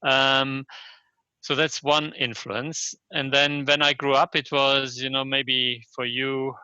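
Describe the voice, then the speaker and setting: An older man from Germany with a German accent, answering interview questions reflectively and haltingly, often restarting and checking in with "yes?". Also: medium pitch, little pitch movement, bright, forceful tone, clean tone, dry recording, highs cut off. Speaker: interview guest, Chinese medicine practitioner and scholar.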